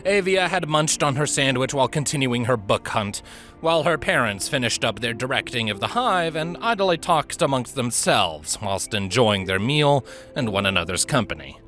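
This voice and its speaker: Guy reading a story out loud, bright lively voice, bit of music behind him. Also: mid-range voice, moderate pace. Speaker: narrator, fan fiction reader. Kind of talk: expressive scripted story narration.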